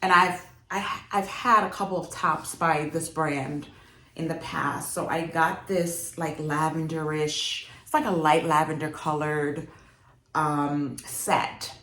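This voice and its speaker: A woman's voice: low-pitched, steady tone, takes it slowly, fairly bright tone. A young woman from Jamaica, raised in New York, chatting casually to camera about fashion, laughing often and using lots of fillers. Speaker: fashion vlogger. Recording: echoey room.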